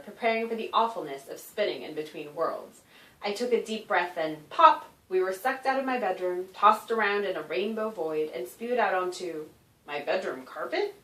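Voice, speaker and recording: A woman author reading a children's story aloud in an expressive, animated narration with dramatic emphasis. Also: mid-range voice. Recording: roomy echo, distant microphone.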